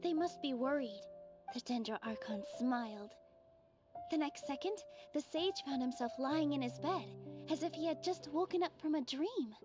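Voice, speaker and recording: A gentle young dancer in a game who tells old stories in a soft, measured way. Her voice sits high, and clear music plays behind her. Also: expressive. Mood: storytelling. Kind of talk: video game voice acting.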